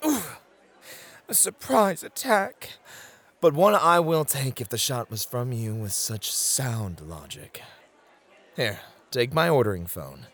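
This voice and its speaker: A man playing a flirty, gentlemanly club host who teases and gently coaches in an ASMR roleplay, with courteous, theatrical phrasing. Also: mid-range voice, very animated, slow, exaggerated voice. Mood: flirty, guiding.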